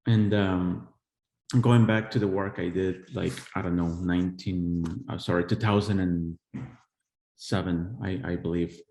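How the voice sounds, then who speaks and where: A man in his forties from El Salvador, an artist giving a live-streamed talk on his work, who speaks haltingly with many pauses and restarts. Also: sits low, steady tone, a little mellow. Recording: echoey room.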